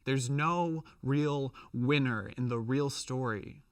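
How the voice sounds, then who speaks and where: A man performing slam poetry in an emphatic, rhythmic delivery with deliberate pauses and repetition. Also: medium pitch, no echo, recorded from a distance. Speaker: slam poet.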